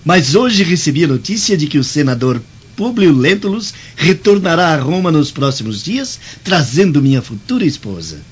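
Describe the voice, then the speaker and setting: A man's voice: fairly high pitch, big pitch swings, moderate pace, husky. A Brazilian man playing an exuberant, arrogant young Roman nobleman in a radio drama, bossy and exultant. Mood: triumphant. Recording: muffled, faint ambient noise.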